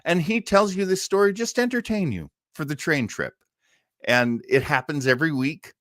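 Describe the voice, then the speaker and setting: A man's voice: fairly high pitch, moderate pace, a bit bright, no echo, studio quality. A man hosting an old-time radio livestream, chatting casually and enthusiastically in a rambling way.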